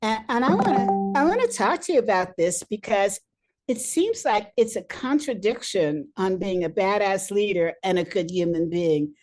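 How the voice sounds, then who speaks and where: A woman's voice: mid-range voice, lively intonation, moderate pace, darkish tone, faint music behind. A woman hosting a leadership podcast, who talks with enthusiasm and laughs easily. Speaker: podcast host, leadership coach. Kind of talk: conversational interview.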